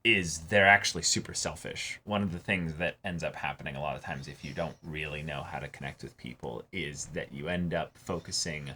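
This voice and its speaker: A man's voice: extremely low pitch, a little fast, hardly any pauses, pushed and bright, a little hiss or hum. A man co-hosting an anime reaction show, talking through ideas in a thoughtful, analytical way with many fillers and restarts. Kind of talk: casual discussion.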